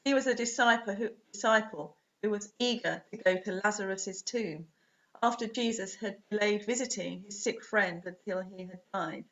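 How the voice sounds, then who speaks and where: A woman's voice: medium pitch, fairly bright tone. Woman preaching, slightly dull recording. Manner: measured, deliberate phrasing in short units. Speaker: preacher, Anglican priest. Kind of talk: scripted sermon read to camera. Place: small home room, indoors. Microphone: webcam or laptop at desk distance. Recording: dull, muffled webcam audio.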